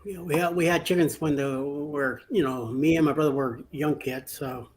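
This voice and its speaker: A man from Puerto Rico, raised in Miami, joins a casual chat as a guest. He tells his story in a rambling way, full of fillers, in a slightly higher voice. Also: steady tone, moderate pace, poor audio. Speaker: panel guest.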